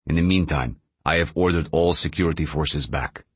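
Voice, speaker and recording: Man playing weary, deep-voiced Russian president. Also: very animated, normal speed, husky, no echo, heavily acted. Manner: weary, conciliatory.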